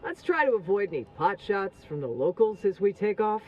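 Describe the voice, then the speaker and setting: An actor playing a brisk male pilot in a desert war game who makes matter-of-fact, dry quips. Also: high-pitched, big pitch swings, darkish tone, slight background noise. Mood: wry. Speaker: in-game character. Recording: dull game audio under a stream.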